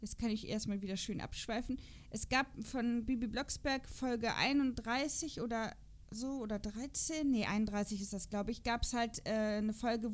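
A woman co-hosting a podcast in a casual, unscripted chat, rambling along and often checking for agreement. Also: on the high side. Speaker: podcast co-host reviewing children's audio dramas.

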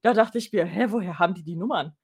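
A woman's voice: fairly low pitch, fast talker, husky. A woman co-hosting a podcast in casual chat, explaining things in a conversational way. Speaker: podcast co-host.

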